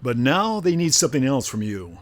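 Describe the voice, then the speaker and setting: Man acting a smooth, animated crooked middleman. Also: medium pitch, a little fast, close to an everyday voice. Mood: smug, businesslike. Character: smooth, businesslike male middleman for criminals. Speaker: actor in a radio drama. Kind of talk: contemporary radio drama acting.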